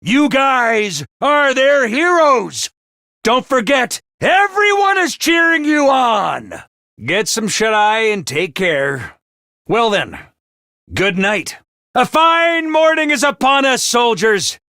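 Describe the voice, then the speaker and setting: A shrill theatrical commander. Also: male voice, big pitch swings, slow, bright, forceful tone, smooth, no room sound, studio quality, heavily acted. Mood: gentle. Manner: booming, over-the-top cheer. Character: theatrical male academy commander, cheerful then menacing. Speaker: voice actor. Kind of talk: video game voice lines.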